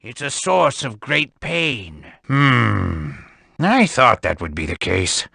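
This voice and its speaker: An actor playing a grave, protective old grandfather of a winged people in a video game, with a gravelly voice. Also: mid-range voice, big pitch swings, takes it slowly, pushed and bright, dry recording, studio quality, full character voice. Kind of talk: English game dub voice acting.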